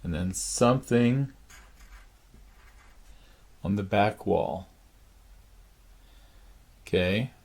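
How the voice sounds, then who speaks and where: A man teaching a drawing lesson, thinking aloud slowly with many pauses, his voice raspy. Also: on the low side, darkish tone. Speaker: art teacher. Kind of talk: drawing lesson, talking while sketching. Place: art studio.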